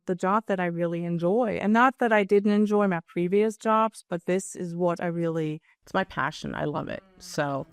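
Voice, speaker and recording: Native German speaker, woman in her fifties, podcast guest. Low-ish, clean, dry close-mic sound. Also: moderate pace, hardly any pauses, smooth, dry recording. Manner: chatty storyteller, self-deprecating. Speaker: guest, business owner. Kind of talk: casual interview chat.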